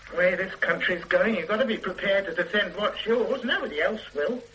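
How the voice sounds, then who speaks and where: A woman's voice: medium pitch, fairly quick, a bit bright, lo-fi sound, slight background noise, lightly acted. A woman playing a blunt, defiant middle-aged shopkeeper, in a radio drama, who stammers and restarts her sentences. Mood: stubborn. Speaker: witness.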